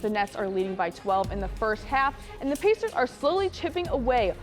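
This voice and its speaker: Young female sportscaster, high voice, scripted highlight reads, light music underneath. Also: a little fast. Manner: punchy, excited calls on big plays, wry asides. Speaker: sports anchor.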